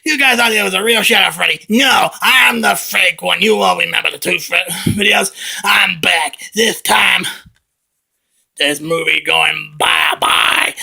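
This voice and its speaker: Man playing a gloating, gravelly impostor villain. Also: high-pitched, measured pace, pushed and bright, pitched below the performer's own voice, exaggerated voice. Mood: gloating, menacing. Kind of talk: amateur improvised skit.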